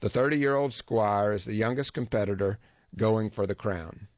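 A man's voice: on the low side, moderate pace, darkish tone, no echo. A man reading a first-person feature story aloud in a wry, dryly humorous way. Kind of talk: scripted first-person narration. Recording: dull lo-fi dry recording.

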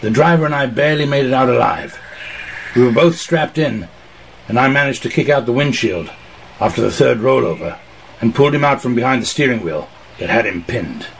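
A man's voice: mid-range voice, expressive, fairly quick, vocal fry, a little hiss or hum, rough recording. A man playing a hard-boiled, gritty noir storyteller in a radio theater monologue, intensely recounting violence. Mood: grim, intense.

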